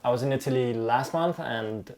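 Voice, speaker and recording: A man hosting a podcast in a middle-pitched voice. He chats casually and stays curious. He keeps the talk moving with follow-up questions. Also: normal speed, darkish tone. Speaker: podcast host. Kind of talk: podcast interview.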